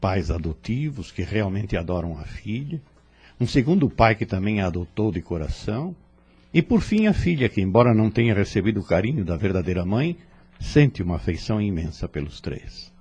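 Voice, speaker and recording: A man playing a wise, serene spirit instructor in an audio drama, teaching in a solemn, measured way. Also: on the low side, big pitch swings, a little mellow, breathy edge, muffled recording. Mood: tender, reverent. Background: music.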